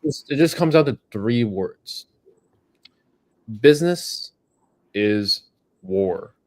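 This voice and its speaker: A man's voice: medium pitch, animated, slow, darkish tone. A man co-hosting a live Q&A, frank and motivational, giving tough-love advice. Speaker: co-host answering chat questions. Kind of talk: casual live-stream chat.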